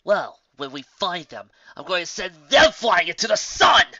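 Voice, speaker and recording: A man voicing a brash, hot-headed female pegasus pony, loud and demanding, in a story reading. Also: high-pitched, sing-song, moderate pace, no room sound, lo-fi sound, voice pushed up, heavily acted. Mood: threatening.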